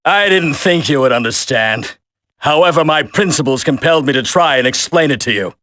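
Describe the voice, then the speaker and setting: Video game villain: resolute terrorist colonel, solemn and measured, forceful higher-set voice. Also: male voice, lively intonation, no echo. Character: grim, principled male terrorist colonel, resolute and vengeful. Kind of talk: video game cutscene acting.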